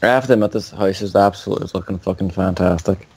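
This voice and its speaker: A man's voice: low voice, quick pace, darkish tone, husky, no room sound. A man rambling in a gaming voice chat with friends, piling on fillers and casual swearing. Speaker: gamer in voice chat. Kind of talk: casual voice chat while playing.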